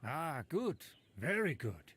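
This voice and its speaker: A man's voice: fairly high pitch, very animated, slow pace, a bit bright, a little hiss or hum, full character voice. An actor playing a haughty nobleman of a fallen clan in a video game, speaking in a pompous, formal, refined way. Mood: pompous approval. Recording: background music under the voice.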